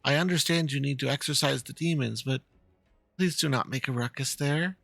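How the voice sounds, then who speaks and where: A man voicing an earnest, worried young fox-girl in halting, polite speech, reading out video game dialogue. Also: mid-range voice, gravelly, a bit bright, dry recording, faint music behind. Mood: formal.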